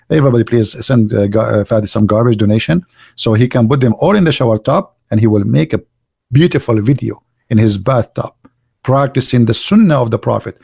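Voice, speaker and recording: A man's voice: lowish voice, creaky, warm tone, breathy edge. A middle-aged man hosting an online show, giving sarcastic, mocking commentary in non-native English with many restarts.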